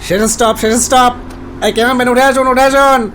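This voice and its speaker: Brusque male night-bus conductor for horror animation, done by a male narrator, raised high voice, terse delivery. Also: steady tone, fast talker, a bit bright, slight background noise. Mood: calling out.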